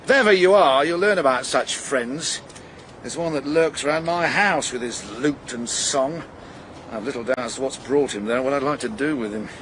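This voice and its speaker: An actor playing a cynical, jealous married gossip, sardonic and insinuating, in a radio drama. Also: male voice, medium pitch, moderate pace, fairly bright tone, slight background noise, lightly acted, poor audio. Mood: knowing, insinuating. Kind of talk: naturalistic radio drama acting.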